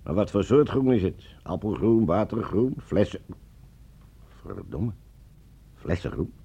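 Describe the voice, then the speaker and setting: A gruff, ponderous captain in a radio drama. His deep voice is dark and raspy, and he muses and questions as he speaks. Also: big pitch swings, measured pace, exaggerated voice. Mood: puzzled, musing. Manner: musing, questioning.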